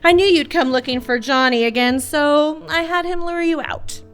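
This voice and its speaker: Very high, mocking spoiled heiress villainess by an actress. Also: female voice, normal speed, soft background music, natural voice. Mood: gloating. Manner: sarcastic, gloating, singsong. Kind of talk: 1940s noir radio play acting.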